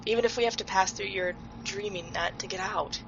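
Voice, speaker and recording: A woman's voice: mid-range voice, brisk, vocal fry, soft background music, natural voice. A woman playing a desperate, determined fugitive in a fantasy audio drama, urgent and insistent, on noisy lo-fi audio. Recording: dull, noisy lo-fi recording.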